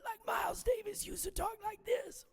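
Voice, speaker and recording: A man's voice: extremely high pitch, normal speed, shouting. A man chatting on a panel and telling jokey stories with animation. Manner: animated, joking storyteller. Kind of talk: casual panel chat.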